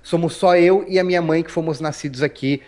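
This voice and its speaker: Brief: Paulistano male guest, relaxed storyteller, raised pitch, lots of restarts and "né?". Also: a little fast. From Brazil. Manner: chatty, storytelling, frequent restarts and tag questions. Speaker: interview guest. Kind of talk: casual interview talk.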